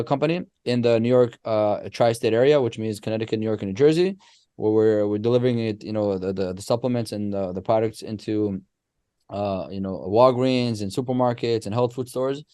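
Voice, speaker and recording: Smooth-voiced man. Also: mid-range voice, a little fast, dry recording, studio quality. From Israel. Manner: animated, explanatory storyteller with many restarts. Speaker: guest, company co-founder. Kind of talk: casual podcast interview.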